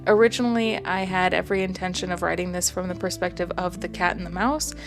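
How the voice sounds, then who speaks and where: A woman reading her own first-person story aloud in a gentle, soft-spoken way. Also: mid-range voice. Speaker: author and narrator.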